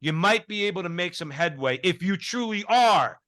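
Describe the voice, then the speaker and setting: A man's voice: high-pitched, lively intonation, normal speed, pushed and bright, dry recording. A male co-host of a talk show, speaking emphatically and often repeating words as he starts a point. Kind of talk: casual talk show discussion.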